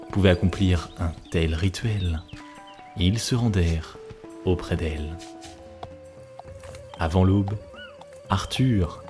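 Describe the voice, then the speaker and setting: A man narrating a cartoon story from a script in a solemn, measured way. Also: sits low. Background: music.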